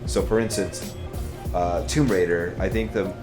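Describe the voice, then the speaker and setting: A man talking casually as a podcast panelist, explaining his opinion in a halting way with lots of "like" and "kind of". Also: deep voice, steady tone, over music. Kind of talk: casual chat.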